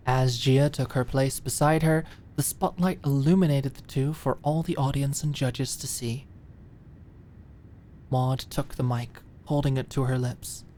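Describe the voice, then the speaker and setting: Low-voiced woman narrating an audiobook. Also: dark tone, a little hiss or hum. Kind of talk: scripted dramatic story narration.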